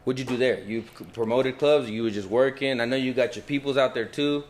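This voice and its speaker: A man's voice: mid-range voice, fairly quick, slight background noise. Hype, slangy male podcast host. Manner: hype, slangy, animated, drops Spanish phrases. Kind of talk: casual podcast interview.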